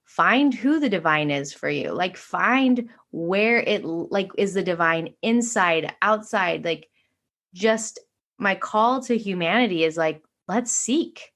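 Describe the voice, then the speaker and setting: A woman's voice: medium pitch, animated, takes it slowly, a bit bright. A woman talking about spiritual awakening in an earnest, flowing way, with frequent "like" fillers. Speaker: podcast guest, spiritual teacher. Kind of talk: casual spiritual conversation.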